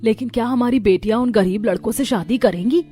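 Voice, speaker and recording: A woman's voice: a little high, brisk, mellow, close to an everyday voice. Earnest, nagging mother voiced by a woman, music behind. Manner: admonishing, earnest. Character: worried, scolding middle-aged mother of five daughters. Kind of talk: Hindi moral story voice acting.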